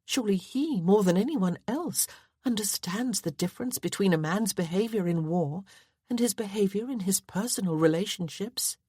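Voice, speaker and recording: A woman voicing an earnest, gentle young lady in a storybook reading, gently questioning. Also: medium pitch, lively intonation, moderate pace, slightly rough, no room sound, clean studio sound, voice pushed up.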